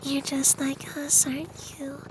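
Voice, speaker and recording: A performer voicing a gentle, sweet, ancient femboy vampire in a hushed, partly whispered ASMR fantasy roleplay. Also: sits high, level tone, a little slow, background noise. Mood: tender. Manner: hushed, breathy near-whisper, lingering delivery.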